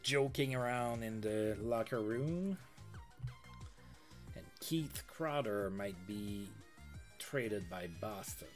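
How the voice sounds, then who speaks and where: Chatty low-voiced man streaming a game. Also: takes it slowly, long pauses, faint music behind. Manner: chatty, reads game news aloud with asides. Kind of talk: casual let's-play commentary.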